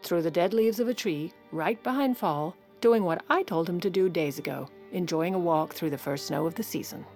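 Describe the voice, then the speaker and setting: A woman's voice: on the low side, normal speed, darkish tone, lightly acted. An actress playing a steely, protective First Lady in an audio drama, composed and commanding in both dialogue and confiding narration. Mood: confiding, grim. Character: steely, protective First Lady covering for her ailing husband. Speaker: lead character and narrator. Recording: music under the voice.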